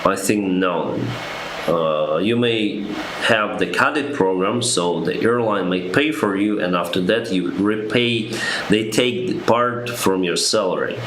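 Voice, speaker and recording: A young man, an airline pilot answering viewer questions on a live stream, reading each one out and replying with frequent pauses and ums. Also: deep voice. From Ukraine. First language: Russian. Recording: echoey room with background noise.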